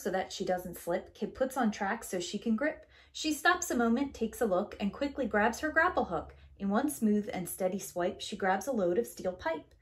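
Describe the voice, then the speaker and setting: A woman in her thirties reading a rhyming picture book aloud to young children in an expressive, deliberate storytelling manner. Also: on the high side. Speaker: preschool teacher. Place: small indoor room with bookshelves.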